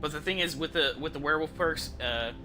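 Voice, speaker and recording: A man's voice: fairly high pitch, fairly quick, music in the background. A man who streams games, giving casual, rambling commentary and thinking aloud in informal, mildly profane language. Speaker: game streamer.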